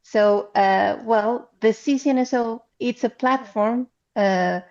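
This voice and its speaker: A woman from Guatemala with a Guatemalan Spanish accent, presenting remotely at a conference in a halting, explanatory way. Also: fairly high pitch, darkish tone. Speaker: council chair speaking as panelist. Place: remote, on a video call. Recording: slightly dull video-call audio.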